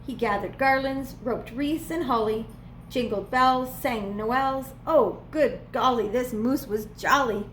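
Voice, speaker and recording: A woman reading a children's storybook aloud as a preschool teacher would, with expressive, playful emphasis. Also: on the high side, a little slow, slight background noise, low-quality recording. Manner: dramatic, playful emphasis on repeated words.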